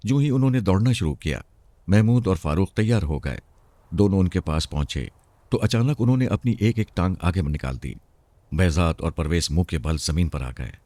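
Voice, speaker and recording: A man's voice: low voice, dark tone, dry recording. A man narrating a scripted suspense story as a storyteller.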